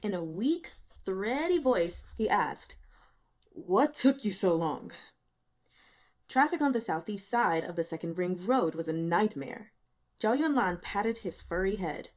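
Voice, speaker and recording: Expressive woman narrator with animated pitch. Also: measured pace. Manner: expressive, dramatic. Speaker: audiobook reader. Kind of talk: dramatic scripted story narration.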